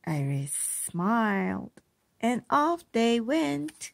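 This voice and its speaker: A woman reading a children's storybook aloud as a mother would to her child, with expressive narration. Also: fairly high pitch, drawn-out words, creaky.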